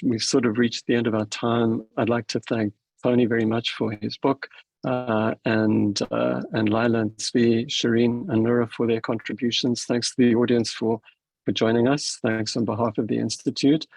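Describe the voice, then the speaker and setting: A middle-aged man from South Africa, moderating a panel and closing it with his own halting remarks full of ums and restarts. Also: medium pitch, flat delivery, creaky, darkish tone, no echo, low-quality recording. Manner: hesitant, many fillers and restarts.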